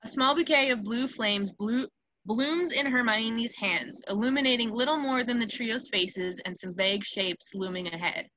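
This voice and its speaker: A woman's voice: fairly high pitch, normal speed, sharp tone, dull audio. A woman reading stage directions as the narrator of a scripted screenplay table read.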